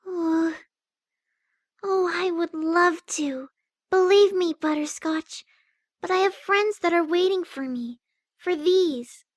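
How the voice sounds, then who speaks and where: A man voicing a shy, sweet young female pegasus pony in soft, hesitant falsetto for a fan fiction reading. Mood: wistful. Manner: slow, hesitant, tender.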